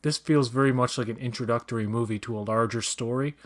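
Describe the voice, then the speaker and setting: Dude ranting casually about a movie, blunt and opinionated, swears a lot, middle-pitched voice. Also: male voice, steady tone, fast. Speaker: guest co-watcher. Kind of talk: casual watch-along chat.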